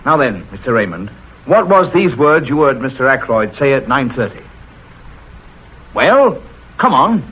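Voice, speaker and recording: An actor playing a blunt, gruff male police inspector in 1940s radio acting, husky, on a dull old radio recording. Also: mid-range voice, big pitch swings, measured pace, long pauses. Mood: brusque. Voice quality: husky, brusque.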